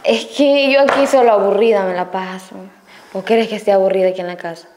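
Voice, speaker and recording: A woman's voice: medium pitch, fast talker, echoey room, background noise. A young woman with a Salvadoran Spanish accent playing a wife in a home-made comedy sketch, coaxing and sweetly giving in. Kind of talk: amateur comedy sketch acting. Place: indoor kitchen.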